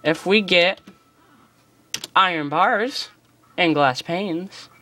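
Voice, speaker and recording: An excitable host chats through a gameplay tutorial and pokes fun at their own stumbles. The voice sits at a middle pitch, and keyboard typing clicks in now and then. The sound is picked up by a phone pointed at a computer screen. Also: drawn-out words, a little hiss or hum. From United States. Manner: chatty, excitable, self-deprecating. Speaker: gaming channel host. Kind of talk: casual game commentary tutorial. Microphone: phone filming a screen.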